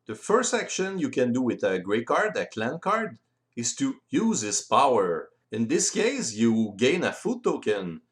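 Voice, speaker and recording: A man explaining board game rules step by step in simple, deliberate phrasing. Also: mid-range voice. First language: French. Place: voice-over recorded separately from the video.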